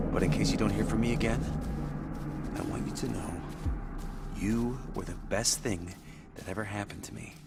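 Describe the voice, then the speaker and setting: An actor playing a weary, guilt-ridden former policeman in a video game, speaking in a halting, self-deprecating way. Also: lowish voice, lightly acted. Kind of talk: naturalistic video game acting.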